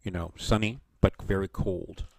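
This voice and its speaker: A man with a loud voice chatting casually on a video call from his home in Japan, rambling a little and laughing often. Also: sits low, steady tone, normal speed, creaky. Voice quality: loud voice, by his own account. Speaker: show guest or host talking about his design work. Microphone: desk microphone on a video call.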